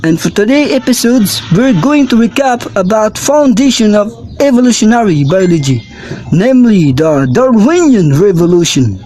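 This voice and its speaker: A young man from the Philippines reads his part slowly and carefully, guesting on a student radio skit. His voice sits high and swings widely in pitch, with a mellow, soft tone. A faint hiss of noise runs behind him. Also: very animated. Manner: slow, careful reading. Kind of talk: reading a class report as mock radio.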